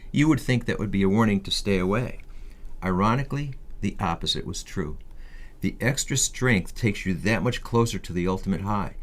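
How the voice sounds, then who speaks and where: Low-pitched man narrating a memoir. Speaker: audiobook narrator. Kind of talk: scripted first-person memoir narration.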